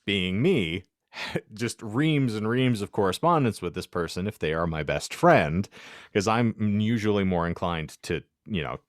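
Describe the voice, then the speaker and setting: Male podcast co-host, low register, close dry sound, wry and verbose casual storyteller with a self-deprecating streak. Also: animated, unhurried, no echo. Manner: wordy, wry, self-deprecating, with ornate vocabulary.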